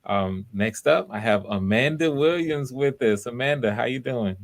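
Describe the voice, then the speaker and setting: A man's voice: medium pitch, moderate pace, vocal fry. A man hosting live auditions, talking casually in an encouraging, enthusiastic manner as he gives feedback.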